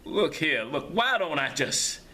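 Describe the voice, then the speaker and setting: A man voicing the devil disguised as a prisoner, pleading in a high, urgent voice. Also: very animated, measured pace, bright, forceful tone, exaggerated voice.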